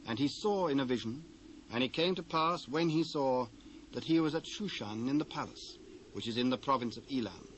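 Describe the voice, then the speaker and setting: A man's voice: mid-range voice, moderate pace, poor audio. A British man gives a solemn scripture narration. His voice sits in the middle register and tells the story formally, with faint noise in the recording.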